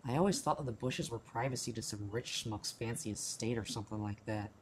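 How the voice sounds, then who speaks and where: A man's voice: medium pitch, a little fast, slight background noise, lightly acted. A man playing a hot-headed, bitter teenage boy in an amateur radio play, with an informal, grumbling manner. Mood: rueful, bitter. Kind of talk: amateur radio play acting, first-person narration.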